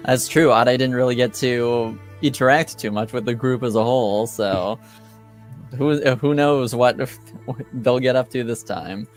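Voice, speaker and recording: A man hosts a tabletop roleplaying game in a casual, unhurried way. He talks slowly and haltingly, pausing often as he goes, with music playing behind him. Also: mid-range voice. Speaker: game master and host.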